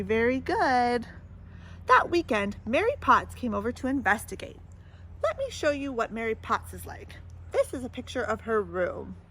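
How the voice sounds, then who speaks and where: Animated woman reading a children's storybook. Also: sits high, slight background noise. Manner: animated, addresses young listeners with questions and comments. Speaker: storybook reader. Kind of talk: read-aloud children's picture book with asides to listeners.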